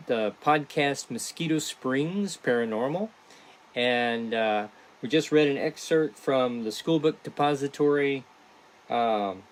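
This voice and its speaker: Slow-paced, halting man chatting to viewers. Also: mid-range voice. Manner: halting, rambling delivery. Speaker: podcast creator and host. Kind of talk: casual livestream chat to viewers.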